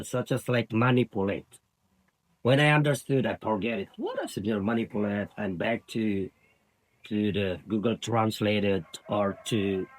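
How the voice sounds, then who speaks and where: A man with an Indonesian accent speaking English as a non-native language, giving informal advice in a halting way and repeating words and phrases. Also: lowish voice, rough recording. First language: Indonesian, Sundanese and Javanese. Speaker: language learner giving study tips.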